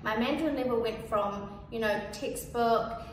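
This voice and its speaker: A woman's voice: a little high, normal speed. A young woman from New Zealand with a New Zealand accent, Cantonese her first language, talking casually in a personal testimonial, with lots of "like" and "you know". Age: young adult. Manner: casual and chatty, with many "like" and "you know" fillers. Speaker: featured speaker. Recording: echoey, distant mic with background noise.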